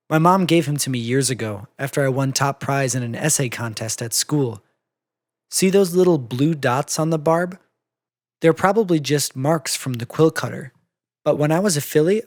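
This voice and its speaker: Brief: narrator playing unflappable female cartoon pony, middle pitch, unhurried, reasonable and explanatory. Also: darkish tone, close to an everyday voice. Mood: wistful.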